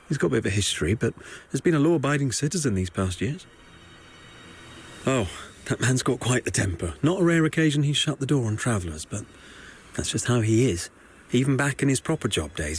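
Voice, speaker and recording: Game foot soldier, slightly rough voice, medium pitch, talkative, uneasy, confiding, evasive. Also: male voice. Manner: conversational, confiding storytelling. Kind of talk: video game character dialogue.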